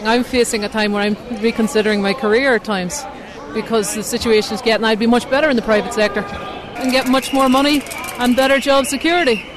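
A woman's voice: fairly high pitch, fairly even intonation, a little fast. A woman, a teacher, speaking unscripted in an outdoor street interview. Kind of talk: unscripted vox-pop interview. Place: outdoors in a city. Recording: noisy outdoor crowd and street.

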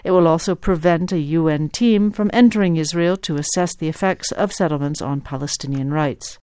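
A woman's voice: low-pitched, normal speed, darkish tone, smooth, no echo. A woman presenting international radio news in a scripted reading style.

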